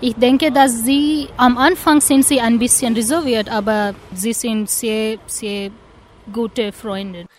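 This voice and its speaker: A woman with a slightly high voice speaks slowly and carefully in halting German. She is from India, and faint ambient noise sits behind her. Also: steady tone. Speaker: interviewee. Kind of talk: casual interview answers.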